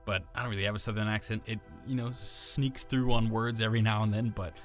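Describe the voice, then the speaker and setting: A man from the American South with a neutral accent and some natural gravel in his voice, casually explaining how he builds a character voice. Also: on the low side, steady tone, fast talker, faint music behind. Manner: casual, rambling, many fillers and restarts. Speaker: voice actor.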